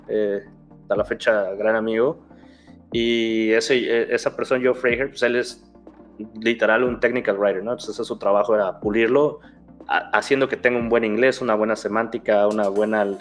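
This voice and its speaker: A young Mexican man with a Mexican Spanish accent, talking casually as an interview guest, rambling, restarting phrases and adding fillers. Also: medium pitch. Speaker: guest, security researcher.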